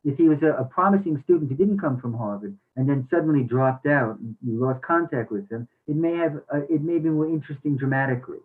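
A man offering measured, somewhat hesitant critical feedback in a discussion over a tinny connection. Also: mid-range voice, slightly rough. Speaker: workshop participant giving critique.

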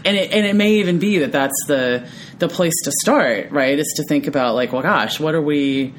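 Brief: low-pitched woman, deep voice, easygoing podcast co-host, musing aloud with a slight hiss of faint noise behind. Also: normal speed. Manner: tags thoughts with frequent "right?"; laughs easily, animated when amused. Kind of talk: reflective casual conversation.

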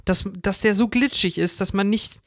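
A man's voice: sits high, moderate pace, no echo, studio quality. A man co-hosting a podcast in casual chat. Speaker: podcast co-host.

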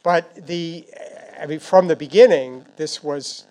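A man answering questions as a foreign policy expert, speaking off the cuff in a halting, thoughtful, hedging way. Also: fairly high pitch, warm tone. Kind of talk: unscripted answers at a policy discussion.

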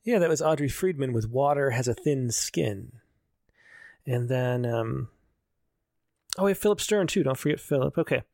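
Gravelly male host, dry sound. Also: medium pitch, animated, normal speed, long pauses, a little mellow, dry recording. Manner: friendly, guides callers through technical steps. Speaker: call-in show host. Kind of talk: casual chat.

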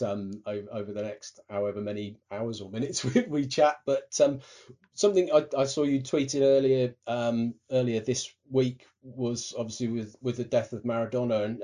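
Mellow-voiced man hosting a podcast. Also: medium pitch, normal speed. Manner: hesitant, repeats words, many fillers. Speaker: podcast host. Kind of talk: casual podcast interview.

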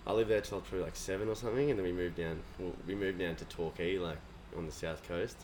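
A man's voice: low-pitched, fairly even intonation, quick pace, a little mellow, a little hiss or hum. A young Australian man, a guest musician, chatting casually in a rambling, laid-back way with lots of swearing and fillers. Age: young adult. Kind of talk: casual podcast chat.

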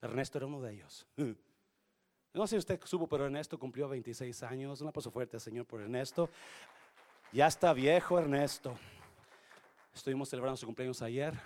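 A male pastor with a Spanish accent, preaching a sermon that switches between Spanish and English and swings from quiet to shouting. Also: medium pitch, brisk, pauses often. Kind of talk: bilingual sermon.

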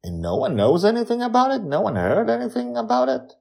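A man's voice: high voice, huge pitch swings, a little fast, gravelly, darkish tone, voice pushed up, exaggerated voice. A man voicing an easygoing dad in a fantasy story, speaking in an upbeat, casual, bouncy manner. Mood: surprised. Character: easygoing adult dad, leader of dream adventures.

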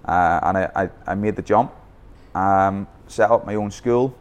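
A man's voice: deep voice, unhurried, lo-fi sound. A man with a Northern Irish accent telling his coaching story in a podcast interview, candid, with frequent restarts. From Northern Ireland. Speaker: youth football academy founder. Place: Bangkok.

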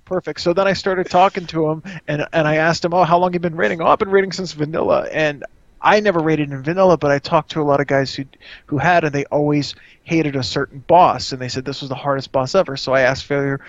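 A man's voice: fairly high pitch, no echo. A man telling casual gaming anecdotes over online voice chat, acting out the dialogue as he goes. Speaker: guild raid leader on a group show. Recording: muffled, dry online voice chat.

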